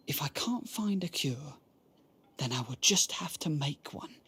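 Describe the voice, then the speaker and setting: An actor playing an earnest, deferential merchant in a radio drama, husky, with formal courtly speech and an anguished streak. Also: male voice, mid-range voice, a bit bright. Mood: sorrowful resolve.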